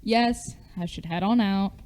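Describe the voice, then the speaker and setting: A woman's voice: medium pitch, unhurried, a little mellow, lightly acted. A woman playing a flippant, joking grown son in an amateur radio play. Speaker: high school theatre performer.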